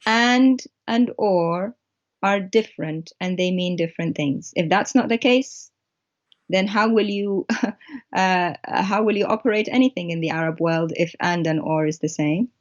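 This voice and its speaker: A woman whose first language is Arabic, a guest explaining text on screen in a halting way with many pauses. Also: fairly low pitch, highs cut off. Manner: halting, pointing things out.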